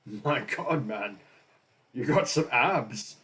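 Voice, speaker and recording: A man's voice: medium pitch, expressive, takes it slowly, a bit bright, voice pushed up. A man voicing a short male dwarf quest giver who speaks bluntly. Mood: impressed.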